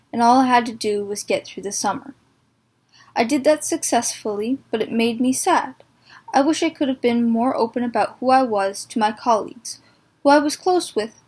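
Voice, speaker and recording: A woman's voice: mid-range voice, a little mellow. Teenage girl reading a sincere letter. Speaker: letter writer. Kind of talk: reading a personal letter aloud.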